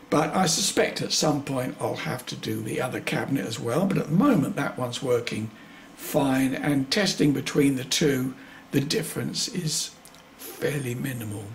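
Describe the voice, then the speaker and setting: A man with a creaky voice gives an informal, matter-of-fact repair demonstration, a little hesitant, with dry asides. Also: medium pitch, normal speed, slight background noise. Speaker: hobbyist repairer presenting own video.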